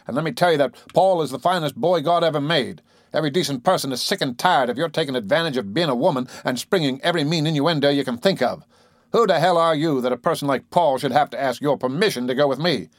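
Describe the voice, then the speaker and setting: A man reading an audiobook voices a blustering middle-aged businessman who lectures and brags in a loud, hectoring way. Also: mid-range voice, no echo, clean studio sound, close to an everyday voice.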